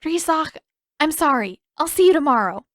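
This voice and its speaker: A woman's voice: high voice, expressive, normal speed, no room sound, studio quality, voice pushed up. A woman voicing a stern, impatient teenage girl who teaches and is easily flustered, in emphatic audiobook dialogue. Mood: flustered, urgent.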